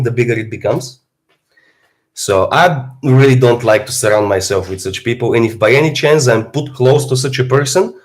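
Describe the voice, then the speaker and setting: A man, hoarse from a cold, talking bluntly and philosophically in a casual livestream monologue as a fitness streamer. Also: mid-range voice. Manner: blunt, philosophizing, swears freely.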